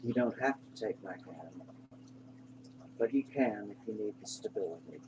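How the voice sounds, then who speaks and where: A man narrating a guided meditation in an unhurried, reassuring manner, pausing between short phrases. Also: lowish voice, animated. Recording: clear background hum and noise.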